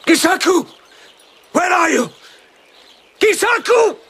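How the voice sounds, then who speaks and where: A man's voice: very high voice, lively intonation, slow, fairly bright tone, slight background noise, exaggerated voice. An actor playing a haughty, commanding lord and swordsman who is easily unnerved, in a radio drama. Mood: frantic, angry.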